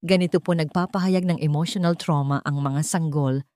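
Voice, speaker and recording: A woman's voice: sits low, steady tone, normal speed, darkish tone, lightly acted. Woman reading as a grave, frank male pediatrician. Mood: grave, explaining. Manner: formal, explanatory.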